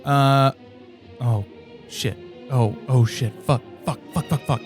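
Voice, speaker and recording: A man's voice: medium pitch, slow pace, faint music behind, close to an everyday voice. A man playing a panicky, apologetic, bumbling sidekick in a parody radio play, hesitant and sweary. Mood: panicked, sheepish.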